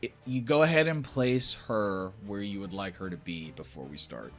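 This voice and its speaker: A man's voice: on the low side, lively intonation, normal speed, soft background music. A man running a tabletop fantasy game, mixing dramatic storytelling with casual, sweary table talk. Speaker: game master.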